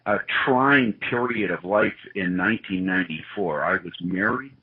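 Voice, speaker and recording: A man with a low, husky voice, from Wisconsin, shares his story with an earnest, reflective tone. The recording is dull and lo-fi. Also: moderate pace. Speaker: guest, organization CEO. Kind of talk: personal testimony in an interview. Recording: muffled lo-fi sound.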